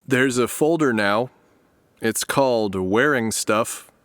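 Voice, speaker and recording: A man playing a calm, practical everyman in a comedic audio drama. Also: medium pitch, slow, music in the background, natural voice.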